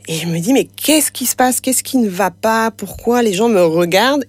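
A woman guest whose first language is French Sign Language talks in a casual, hesitant way, often pausing and restarting her sentences. Her voice sits at a middle pitch for a woman. The recording is dry and close. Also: no echo. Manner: hesitant, many fillers and restarts. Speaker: interview guest. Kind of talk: casual conversational interview.

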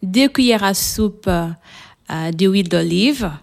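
A woman with a Portuguese accent, a native Portuguese speaker, talking as a guest cook in a radio interview and pausing often with fillers. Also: mid-range voice, lively intonation, vocal fry. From São Tomé and Príncipe, raised in Portugal. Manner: hesitant, frequent pauses with fillers.

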